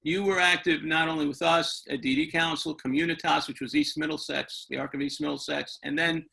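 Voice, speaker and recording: A man's voice: on the high side, steady tone, brisk, creaky, bright, forceful tone, low-quality recording. A man hosting an informal webinar interview, rambling and circling back, often seeking agreement from his guests. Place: remote webinar call.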